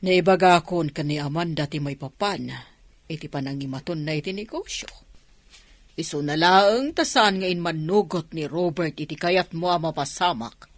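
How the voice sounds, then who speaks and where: A woman's voice: deep voice, normal speed, no echo. An actress playing a calculating middle-aged mother in an Ilocano radio drama. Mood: frustrated.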